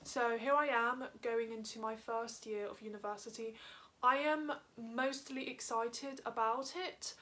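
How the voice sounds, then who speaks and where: A woman's voice: on the high side, steady tone, sharp tone. Upbeat young woman chatting in her bedroom. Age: young adult. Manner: chatty, upbeat, quick asides. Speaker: lifestyle vlogger. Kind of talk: casual haul vlog talk to camera. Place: bedroom, indoors. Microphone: camera on a stand in front of her.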